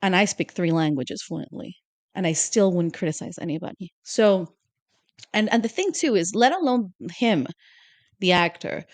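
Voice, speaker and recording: A woman's voice: lowish voice, expressive, moderate pace, no echo. A woman co-hosting a podcast in casual, opinionated chat, often asking "you know?". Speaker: podcast co-host.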